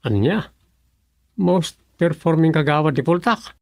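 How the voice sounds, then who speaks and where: An actor in an Ilocano radio comedy drama playing a grumbling, sarcastic village councillor with a rough voice. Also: mid-range voice, unhurried, no room sound, clean studio sound. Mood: indignant.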